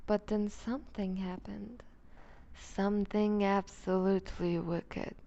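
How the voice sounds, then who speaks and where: Vampire duchess, female performer: predatory and teasing, very unhurried, dark mellow tone, whispered asides, intimate ASMR roleplay. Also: medium pitch, level tone, highs cut off. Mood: wistful, dark. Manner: slow, deliberate, purring condescension with whispered phrases. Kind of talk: ASMR roleplay audio drama.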